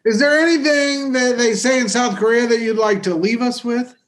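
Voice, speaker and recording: A man's voice: very high-pitched, moderate pace. A man co-hosting a comedy podcast, tossing off wry, ribbing quips in casual chat. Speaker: podcast co-host.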